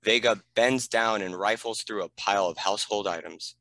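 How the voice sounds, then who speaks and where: A man's voice: fairly low pitch, moderate pace, bright, forceful tone, no echo. A man reading scripted stage directions as a narrator.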